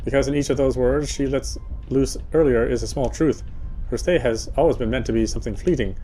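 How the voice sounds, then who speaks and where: A man with a medium-pitched voice reads out the narration of a story game. He goes through it in a measured storytelling manner, like a player sharing the text with viewers. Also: mellow, soft background music. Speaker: gameplay streamer reading aloud. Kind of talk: reading story narration aloud.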